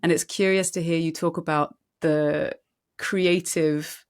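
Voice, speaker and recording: A woman host with a fairly low voice talks in a chatty, rambling way, full of asides and open enthusiasm. She moves easily between questions and her own stories. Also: fairly even intonation, a little slow, clear, resonant tone. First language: French. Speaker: podcast host. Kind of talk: conversational interview. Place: Barcelona.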